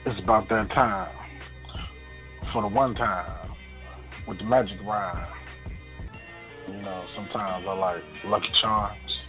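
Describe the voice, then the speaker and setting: A man's voice: fairly low pitch, fairly even intonation, takes it slowly, long pauses. A man co-hosting a radio show in casual, slangy banter with a hype-man delivery. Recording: tinny phone-like sound, music underneath.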